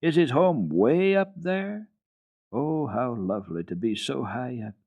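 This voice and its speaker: A man's voice: mid-range voice, big pitch swings, a little slow, narrow, tinny audio, pitched above the performer's own voice. A man voicing an eager, curious little girl of the Alps in a storybook reading, full of wonder and exclamation.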